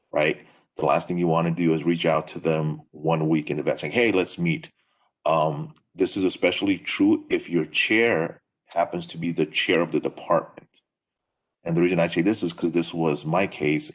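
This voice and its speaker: A man who is not a native English speaker, giving measured advice on a panel with many fillers over a video call. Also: extremely low pitch. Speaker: faculty panelist.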